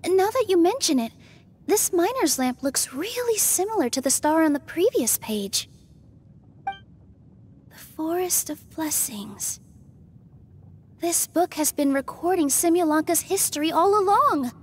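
An actress playing a gentle, observant young woman in a video game, speaking thoughtfully. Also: very high voice, animated. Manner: thoughtful, unhurried. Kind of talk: video game dialogue acting.

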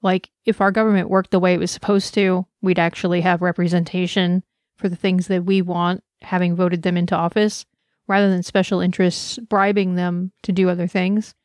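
A woman's voice: fairly low pitch, level tone, moderate pace, a little mellow, dry recording, studio quality. A woman giving a personal, earnest conference talk, speaking with passion and reflection. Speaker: conference presenter.

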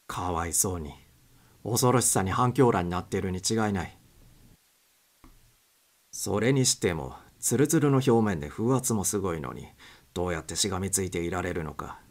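A man's voice: low voice, lively intonation, normal speed. A man reading suspense fiction aloud as scripted audiobook narration.

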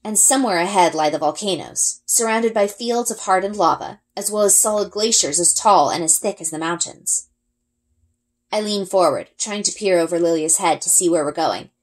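A woman reading a first-person children's adventure story aloud in an expressive storytelling style. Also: on the low side. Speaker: read-aloud reader, elementary school.

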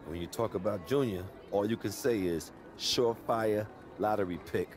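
An actor playing a proud, protective sports agent in a video game cutscene. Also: male voice, on the low side, normal speed, over music, lightly acted.

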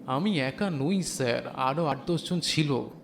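A man's voice: a little high, slow pace, vocal fry, slight background noise. A man playing an earnest, shy fifteen-year-old village schoolboy who speaks hesitantly and respectfully in an audio drama. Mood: hesitant, awed. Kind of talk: dramatised audio story dialogue.

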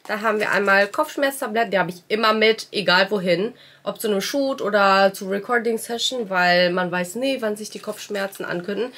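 A woman's voice: medium pitch, fairly quick, a bit bright. Chatty young woman with a stuffy cold. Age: young adult. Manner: chatty and upbeat, mixing in English words. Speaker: vlogger and music trainee. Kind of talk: casual vlog chat to camera. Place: bedroom at home, indoors.

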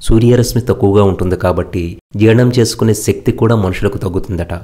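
A man giving calm, reflective voice-over narration for a market travel video. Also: lowish voice, fast talker. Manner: calm, thoughtful, philosophizing.

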